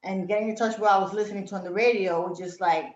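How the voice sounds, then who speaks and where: A middle-aged woman talks through her memories in a relaxed, rambling way, full of fillers and little laughs. Her voice sits at an ordinary pitch. The recording is roomy and slightly dull. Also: fast talker. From Puerto Rico, raised in Brooklyn, New York. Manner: reflective, many fillers, laughs often. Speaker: interview guest. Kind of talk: casual reminiscing interview.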